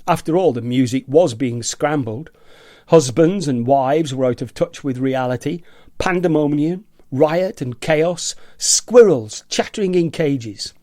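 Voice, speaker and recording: An agitated, eccentric office rebel, played by a man in a radio play, with a slightly high voice. He savours each word theatrically and mimics naggers, and the sound is dry and close. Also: animated, measured pace, warm tone, dry recording. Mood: excited. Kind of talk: radio play monologue reading.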